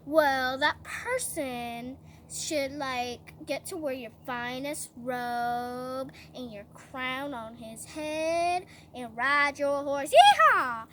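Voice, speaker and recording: Storybook villain, a conceited royal schemer, played by a young woman, very high whiny voice, overblown delivery, noisy recording. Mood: smug, eager. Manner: whiny, exaggerated, drawn-out.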